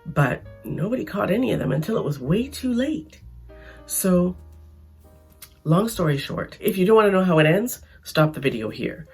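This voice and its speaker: A Canadian woman talks to her viewers in a chatty, emphatic vlog, her voice gravelly and raspy from losing it. She restarts her sentences often as she makes her point. Faint music plays behind her. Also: fairly low pitch, big pitch swings, moderate pace, darkish tone. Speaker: vlogger. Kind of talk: casual opinion vlog.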